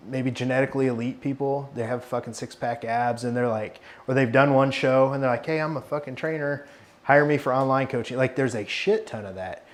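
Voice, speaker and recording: A man, mentoring trainers in a casual chat, blunt, swearing often and animated. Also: medium pitch. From Arkansas. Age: 40s. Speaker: fitness consultant.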